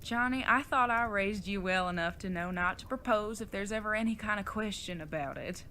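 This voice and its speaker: Woman playing bright-voiced, wry old outlaw mother. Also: mid-range voice, fairly quick, a little hiss or hum, natural voice. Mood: reassuring, frank. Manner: folksy, plain-spoken, teasing, rural idiom. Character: retired outlaw mother, older, wry, folksy and affectionate. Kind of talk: audio drama acting.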